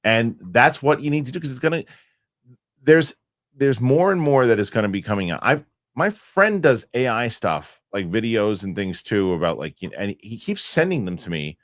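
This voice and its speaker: A man hosting a casual podcast chat, animated and rambling, often restarting his sentences. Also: mid-range voice, moderate pace, no echo, studio quality. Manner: animated, rambling, self-correcting with repeated starts. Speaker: show host.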